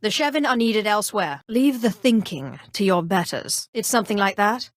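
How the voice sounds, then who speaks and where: An actress playing a cold, haughty, cunning female Sith sorceress in a video game, speaking in a composed, imperious and deliberate way. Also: mid-range voice, expressive, no room sound, clean studio sound, lightly acted. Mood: haughty, dismissive. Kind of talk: scripted role-playing game dialogue.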